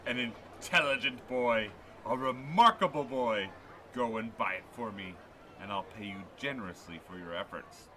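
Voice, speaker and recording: A man's voice: medium pitch, moderate pace, noisy background, lightly acted. A man playing a fervent, theatrical old miser in a radio play. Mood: merry excitement. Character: old miser Scrooge, pleading then giddily redeemed. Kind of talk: stage radio play acting.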